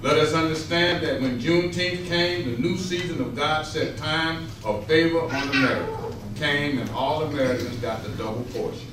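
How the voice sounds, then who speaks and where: A man's voice: a little high, normal speed. Man reciting in a very echoey room. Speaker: readers theater reader. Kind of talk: solemn scripted historical recitation at a rehearsal. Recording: very echoey room, distant mic, clear noise.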